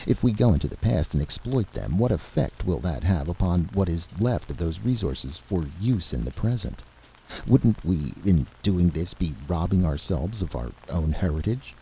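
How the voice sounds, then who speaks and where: A man voicing a cautious, earnest economics expert, formal and deliberate, in a story reading on a dull, noisy recording. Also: low-pitched, gravelly, voice pushed up. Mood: measured concern. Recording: dull lo-fi audio with steady hiss.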